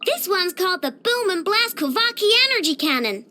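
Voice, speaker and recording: Very high-pitched, bubbly girl genius. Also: fairly quick, a little hiss or hum, heavily acted. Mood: cheerful, proud. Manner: bubbly, boastful, expressive, childlike. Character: cheerful young girl genius inventor, proud and childlike. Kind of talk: scripted video game character voice lines.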